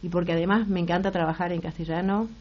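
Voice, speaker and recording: A woman's voice: on the low side, fairly even intonation, fast, a little mellow. Rioplatense woman guest, muffled sound. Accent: Rioplatense Spanish. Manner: thoughtful, trails off and restarts mid-sentence. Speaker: guest, actress and singer. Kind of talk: casual interview talk. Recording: slightly muffled broadcast audio.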